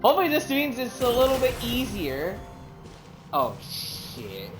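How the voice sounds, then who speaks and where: A woman's voice: fairly high pitch, wildly swooping pitch, measured pace, music in the background. A woman streaming a video game, rambling in casual commentary, swearing and laughing often.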